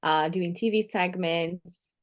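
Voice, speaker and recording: Upbeat woman, lowish voice. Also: takes it slowly. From Brazil. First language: Portuguese. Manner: upbeat, chatty, laughs easily. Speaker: guest, empowerment coach. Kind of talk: podcast interview.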